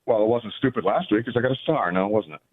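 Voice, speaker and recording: A man's voice: on the low side, quick pace. Cocky male caller on phone line. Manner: cocky, trash-talking.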